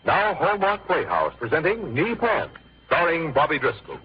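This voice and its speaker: A man's voice: a little high, wildly swooping pitch, moderate pace. A man working as a radio announcer, delivering polished, emphatic sponsor messages and introductions in a 1950s style. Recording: dull old radio broadcast recording, music behind.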